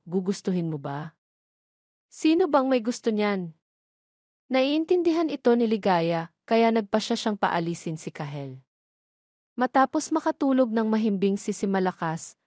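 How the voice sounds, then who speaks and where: A woman's voice: medium pitch, darkish tone, no room sound, clean studio sound. A woman reading a scripted story as its narrator.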